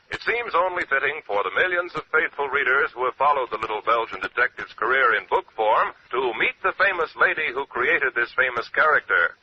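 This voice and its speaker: A man's voice: mid-range voice, highs cut off, slight background noise. A man announcing a radio program in a formal, old-time broadcast style.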